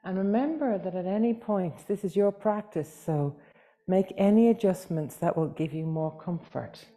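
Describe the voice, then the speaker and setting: A woman leading a guided meditation as a yoga teacher, speaking gently and soothingly with long pauses, unhurried. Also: fairly low pitch.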